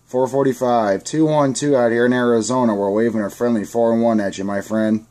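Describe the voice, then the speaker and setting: A man's voice: medium pitch, fairly even intonation, fairly quick. A man from the United States chatting casually and amiably over CB radio, using radio slang. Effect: CB radio transmission. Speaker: amateur CB radio operator. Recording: CB radio with faint static.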